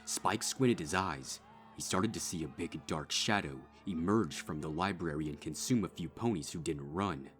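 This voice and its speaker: A man reading a dark horror story as a dramatic scripted narration. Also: low-pitched, normal speed. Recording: music behind.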